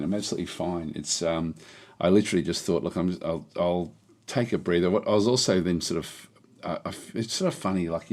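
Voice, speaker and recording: A middle-aged man streaming a game, chatting casually with a slightly hoarse voice that is on the mend, rambling with many restarts. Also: deep voice, a little mellow. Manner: rambling, halting, lots of restarts and fillers. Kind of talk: casual live-stream chat.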